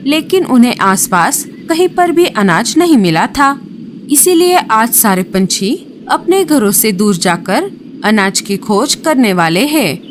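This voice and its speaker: Slow, animated woman storyteller. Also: fairly high pitch, slight background noise. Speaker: narrator. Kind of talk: scripted children's horror storytelling. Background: music.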